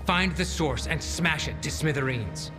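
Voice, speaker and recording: A man playing a gruff, blunt, foul-mouthed military commander in a video game cutscene. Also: fairly high pitch, lively intonation, measured pace, over music. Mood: grim, suspicious.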